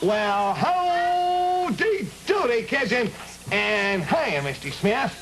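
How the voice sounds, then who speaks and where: An actor voicing a cheerful, exuberant puppet on an old children's television show, with loud music on the soundtrack. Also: medium pitch, big pitch swings, extremely slow, a little hiss or hum, full character voice, poor audio. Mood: gleeful, excited. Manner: exuberant, sing-out greetings. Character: cheerful boy cowboy puppet and kids' show host. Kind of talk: 1940s-50s children's TV show acting.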